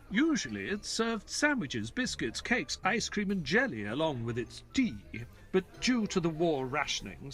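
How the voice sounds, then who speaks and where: A man's voice: fairly high pitch, a bit bright, heavily acted. An actor playing an educated, polite male doctor who narrates a detective tale in descriptive, scripted prose. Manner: measured, descriptive storytelling, courteous in dialogue. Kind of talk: scripted narration and audio drama acting.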